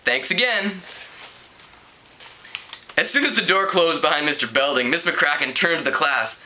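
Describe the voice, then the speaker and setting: Male amateur storybook reader, sharp bright tone, slightly high pitch, lively delivery with occasional stumbles. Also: brisk, slight background noise. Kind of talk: reading a teen novel aloud.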